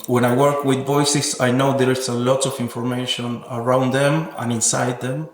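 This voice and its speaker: Man speaking evenly in an echoey room. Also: mid-range voice. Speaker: interviewed sound artist. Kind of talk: reflective interview about own work.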